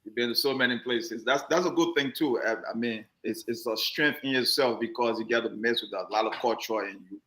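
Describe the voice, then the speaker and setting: A man's voice: medium pitch, brisk, hardly any pauses, sharp tone. A man hosting a community talk show, joking and chatting easily and often repeating his words. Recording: roomy echoey sound.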